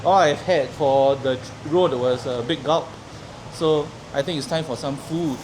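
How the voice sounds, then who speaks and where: A man from Singapore casually telling a friend about his long drive, relaxed and a bit rambling. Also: on the high side, measured pace, a crowd in the background, low-quality recording. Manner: relaxed storytelling, a few fillers. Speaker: road-trip companion. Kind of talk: casual chat.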